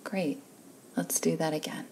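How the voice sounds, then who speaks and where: Woman doing a sleep meditation, really slow and breathy, mellow soothing tone, long gaps. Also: sits low, a little hiss or hum, rough recording. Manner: calm, soothing, unhurried with long pauses. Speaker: guided relaxation instructor.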